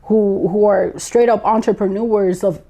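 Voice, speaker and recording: A woman's voice: mid-range voice, fairly even intonation, unhurried, dark tone, rough recording. Blunt, slangy young woman storyteller. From Lawrence, Massachusetts. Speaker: guest, former radio co-host. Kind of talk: casual chat.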